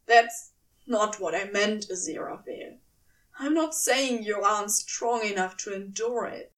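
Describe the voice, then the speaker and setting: A woman's voice: medium pitch, a little slow, distant mic, natural voice. A woman voicing a male demon who is earnest, defensive and wry in an audio story. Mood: pleading. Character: male demon, defensive, apologetic, wryly teasing.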